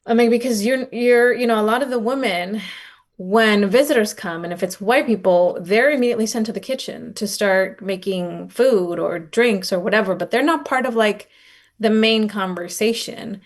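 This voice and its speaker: A woman's voice: mid-range voice, normal speed. A woman giving informal, reflective interview answers as a podcast guest, often saying "like" and retelling exchanges in dialogue. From raised in Los Angeles, born in Oaxaca. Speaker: podcast guest, nonprofit director and advocate.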